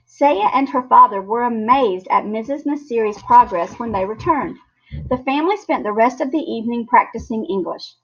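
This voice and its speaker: A woman reading a children's story aloud in an expressive, animated way. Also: a little high, normal speed, breathy edge. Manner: animated, acts out character lines. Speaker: storybook reader. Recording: dull, lo-fi sound.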